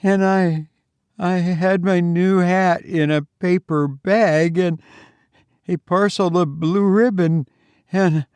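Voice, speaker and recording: A storybook daughter in sheer terror, acted out by a male narrator. She speaks high and breathlessly, in halting, panted fragments that stumble over themselves. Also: a little mellow, smooth, no room sound, clean studio sound. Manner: halting, panting, repeating words. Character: terrified, breathless daughter, gasping out her fright.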